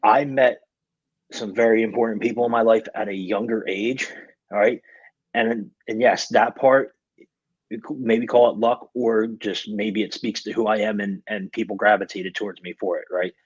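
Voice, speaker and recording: Blunt husky young man, dull lo-fi sound. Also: fairly low pitch, fairly even intonation, normal speed, no echo. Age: 20s. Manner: blunt, profane, emphatic. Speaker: podcast speaker. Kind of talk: casual motivational rant.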